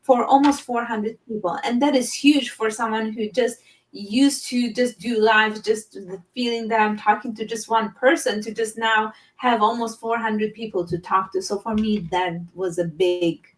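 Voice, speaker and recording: A woman's voice: a little high, fairly even intonation, normal speed, far from the mic, poor audio. A woman from Romania, a teacher, speaking earnestly as a guest on a live-stream interview over a video call. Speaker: guest, teacher and business owner.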